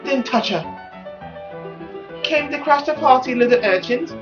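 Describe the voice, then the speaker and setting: A man's voice: extremely high pitch, lively intonation, unhurried, dull audio, over music, voice pushed up. A man voicing a cruel aristocratic woman in a visual novel, with a disdainful sneer.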